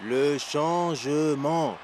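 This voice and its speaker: Scheming ringleader in crowd noise. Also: male voice, on the high side, very slow. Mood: conspiratorial. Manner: conspiratorial, bossy. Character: scheming male triplet ringleader, rival soccer player. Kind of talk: French cartoon dub. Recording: noisy outdoor crowd ambience.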